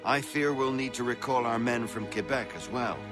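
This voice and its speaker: A man's voice: medium pitch, moderate pace, music in the background, close to an everyday voice. A man playing a grave colonial statesman in a video game, speaking in a formal, stately way. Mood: grave resolve. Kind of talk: dramatic video game acting.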